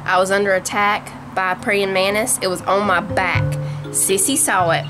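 A woman's voice: mid-range voice, steady tone, normal speed, over music. A woman in her thirties with a Southern US accent, chatting homily to viewers while cooking in her home kitchen. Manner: chatty, homey, talks to viewers as y'all. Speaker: home cooking vlogger, mother. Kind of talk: casual cooking vlog narration.

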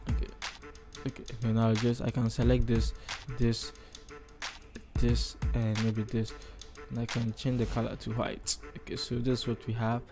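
Ghanaian guy doing a chatty how-to, kinda rambly thinking out loud, normal pitch, music pretty loud behind him. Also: completely flat delivery, normal speed. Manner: chatty, rambling, thinking aloud while working. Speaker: tutorial host. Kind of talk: casual screen-recorded software tutorial.

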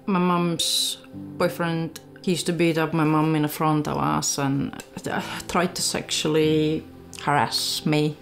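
A woman in her thirties with a Latvian accent, giving a candid, halting personal testimony. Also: sits low, flat delivery, vocal fry. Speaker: church member giving testimony. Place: small living room. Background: background music.

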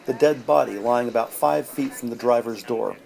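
A man narrating a detective audio drama in measured, descriptive present-tense storytelling. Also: medium pitch, creaky, darkish tone. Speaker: podcast narrator. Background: music.

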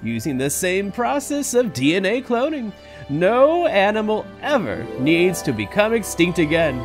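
A man's voice: high-pitched, huge pitch swings, measured pace, faint music behind, heavily acted. A man voicing an elderly male park founder who is boastful and chuckles as he talks, in an audio drama. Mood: proud. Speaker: voice actor. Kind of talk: fan audio drama acting.

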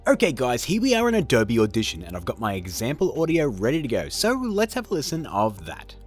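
A man's voice: mid-range voice, huge pitch swings, brisk, husky. A man, hosting a casual tutorial in a chatty, self-deprecating way. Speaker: host of tutorial videos. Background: background music.

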